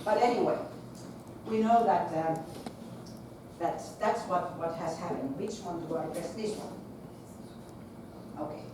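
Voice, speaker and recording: A middle-aged woman with a Finnish accent, a school principal giving a talk in a large lecture hall without a microphone, projecting her voice in a lively, chatty way. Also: lowish voice, a little slow, pauses often. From Finland. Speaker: conference speaker, school principal. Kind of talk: presentation to an audience. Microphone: far camera. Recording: echoey lecture hall from far off, noisy.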